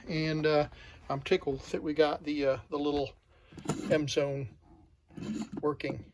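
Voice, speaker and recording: A man giving a casual, halting hands-on demonstration as a hobbyist host, thinking aloud in an unhurried way. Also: fairly high pitch. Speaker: vintage radio hobbyist and video host. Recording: loud background noise and ambience.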